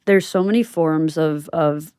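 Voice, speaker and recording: A woman with a fairly low voice hosts a conversational interview podcast. She talks in an informal, chatty way, and the sound is dry with very little room in it. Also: unhurried, mellow, clear, resonant tone, no room sound. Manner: informal and chatty, frequent "like" and "you know". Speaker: podcast host.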